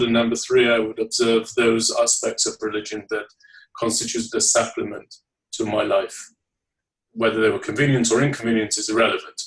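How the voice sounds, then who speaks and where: A man's voice: on the low side, recorded from a distance, rough recording. A man from England with a Leeds accent, giving formal, carefully worded answers as a guest in a podcast interview. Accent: Northern English, Leeds. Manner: measured, formal, articulate.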